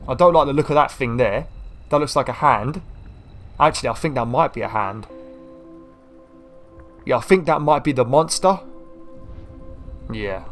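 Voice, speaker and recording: A man with a croaky voice, giving rambling casual commentary while playing a video game. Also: medium pitch. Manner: rambling, chatty, self-deprecating. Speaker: gaming YouTuber.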